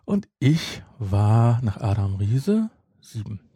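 A man's voice: mid-range voice, sing-song, slow pace, dark tone, breathy edge, dry recording, studio quality. A man, chatting casually as a podcast co-host. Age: 50s. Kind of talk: casual chat about audio dramas.

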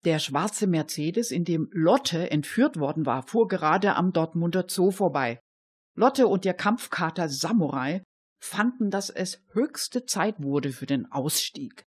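A woman's voice: on the low side, expressive, unhurried, breathy edge. A woman narrating a crime radio play in scripted storytelling style.

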